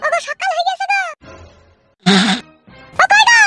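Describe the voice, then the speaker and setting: Woman acting a very shrill cartoon housewife. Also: moderate pace, over music. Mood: worried. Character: nagging village housewife, shrill and pleading.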